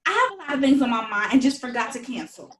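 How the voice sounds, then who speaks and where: A woman's voice: sits high, sing-song, quick pace, a bit bright, reverberant, slight background noise. A woman playing a prim, nervous, self-justifying new mother in a comedy script table read. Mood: defensive.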